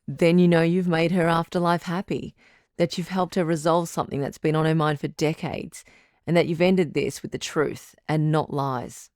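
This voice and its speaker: A woman playing a composed, frank relationship doctor who hosts a radio call-in drama in a measured, counselling way. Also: low-pitched, steady tone, darkish tone, no room sound, studio quality. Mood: firm, caring. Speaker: radio advice show host.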